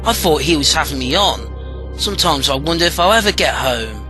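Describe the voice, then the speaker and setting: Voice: mid-range voice, lively intonation, moderate pace, lightly acted. A young actor playing an anxious, naive teenage boy from colonial times in an audio drama. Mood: anxious, overwhelmed. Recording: clear music behind the voice.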